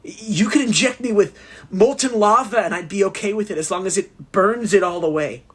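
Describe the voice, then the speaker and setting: A nervous young man, a patient in a horror audio play. His voice is on the high side and husky, with a timid, stammering delivery full of desperation and self-doubt. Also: fairly quick, fairly bright tone. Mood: desperate, pleading. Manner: hesitant, stammering.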